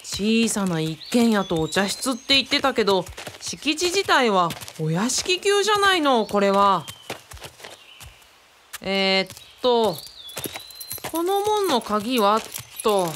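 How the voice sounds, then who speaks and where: A woman playing a boyish, excitable young man in a radio drama, speaking casually and exclaiming often. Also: fairly high pitch, slow pace, slight background noise, full character voice. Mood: excited, playful. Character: boyish, excitable young male sidekick, Kaoru.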